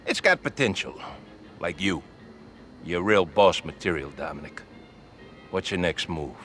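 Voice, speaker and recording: An actor playing a crude, sly old-guard mobster in a video game, blunt and needling. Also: male voice, deep voice, lively intonation, unhurried, a bit bright, slight background noise. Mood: sly, appraising. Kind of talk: video game cutscene acting.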